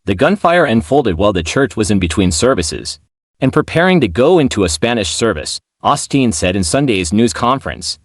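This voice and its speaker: A man's voice: lowish voice, big pitch swings, moderate pace, no echo, studio quality. A man reading a scripted news report as a narrator.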